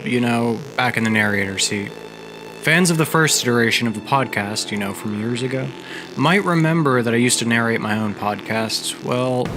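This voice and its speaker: A man hosting his own podcast, narrating a story and giving announcements in a steady, measured way. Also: mid-range voice, rough recording. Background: background music.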